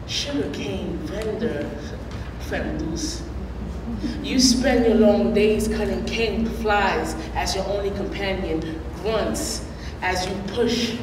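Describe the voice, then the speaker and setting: Slow, rhythmic woman poet in an echoey hall. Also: medium pitch. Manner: slow, rhythmic, emphatic delivery with dramatic pauses. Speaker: spoken word poet. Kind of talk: slam poetry performance. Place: college lecture hall. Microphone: standing mic at a lectern, picked up from a distance. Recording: echoey hall from far off, with noise.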